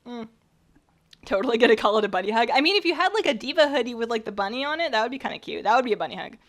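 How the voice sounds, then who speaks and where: A woman's voice: fairly high pitch, animated, racing, fairly bright tone. Chatty young woman just over a cold. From British Columbia, Canada. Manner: chatty, rambling, reads chat aloud, laughs often. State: recovering from a cold. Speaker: streamer. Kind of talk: casual chat while baking on a livestream. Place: home kitchen.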